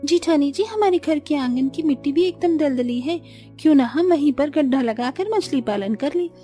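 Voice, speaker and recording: A woman acts out an earnest, practical village daughter-in-law in a cartoon story. Her voice is high with a soft, mellow tone. She explains earnestly over background music. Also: lively intonation, moderate pace. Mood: earnest explaining. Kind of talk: Hindi cartoon story dialogue.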